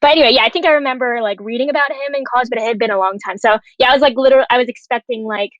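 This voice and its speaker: Chatty higher-voiced woman, slightly dull audio. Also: rapid-fire, a bit bright, dry recording. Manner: informal, frequent "like", chatty. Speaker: panelist, television writer. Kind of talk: casual chat.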